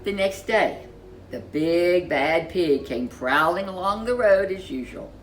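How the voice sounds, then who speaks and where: A woman reading a children's storybook aloud as a storyteller, with expressive, deliberate delivery and dramatic emphasis. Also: fairly low pitch, faint music behind.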